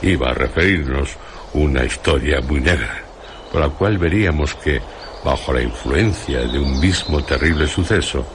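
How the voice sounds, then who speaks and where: Solemn storyteller, super low voice, faint ambience n birds behind, radio play. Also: male voice, moderate pace, background noise. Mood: grave, reflective. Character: grave, reflective male narrator of a dark literary tale.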